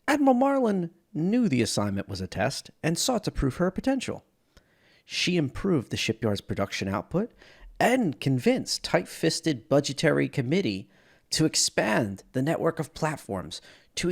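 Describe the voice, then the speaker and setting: A man giving a dramatic, measured narration of science fiction lore as a storyteller. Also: mid-range voice, creaky.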